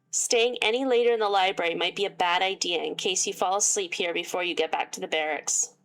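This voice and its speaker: A woman reading a visual novel aloud with sarcastic asides, her voice getting worn and hoarse. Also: lowish voice, rough recording. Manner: reads game text aloud and breaks off with sarcastic asides. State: losing her voice. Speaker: gaming YouTuber.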